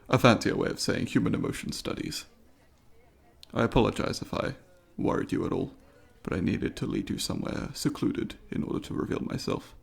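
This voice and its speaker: A man's voice: fairly low pitch, vocal fry, breathy edge, full character voice. A man voicing a polite, eager alien student in an ASMR roleplay, speaking formally and precisely to the listener in an inquisitive, apologetic way. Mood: careful, reassuring.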